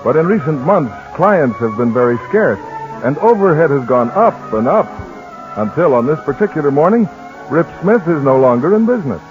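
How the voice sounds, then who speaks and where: A man's voice: on the high side, sing-song. Man narrating over music, tinny. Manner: measured storytelling. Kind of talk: scripted radio narration. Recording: tinny old radio with music.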